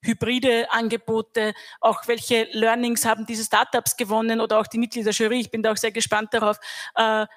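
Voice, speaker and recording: A middle-aged woman with an Austrian accent speaking as a guest on a stage panel into a handheld mic, measured and reflective. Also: fairly high pitch. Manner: measured, reflective, even delivery. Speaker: panel guest, academy head. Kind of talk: panel discussion.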